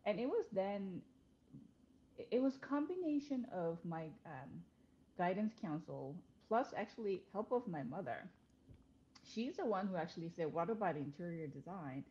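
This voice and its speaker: A woman's voice: fairly low pitch, expressive, normal speed, dark tone, lo-fi sound. A woman in her forties, born in South Korea, talking casually as a podcast guest with frequent fillers and restarts. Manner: conversational, many fillers and restarts, laughs easily. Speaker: interior design principal, podcast guest. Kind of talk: casual interview chat.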